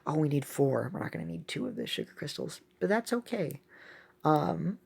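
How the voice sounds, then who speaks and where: A woman giving casual, excitable gameplay commentary as a video host, thinking aloud as she plays. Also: low-pitched, moderate pace, vocal fry, slightly rough. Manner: excitable, exclaiming often; thinks aloud while playing.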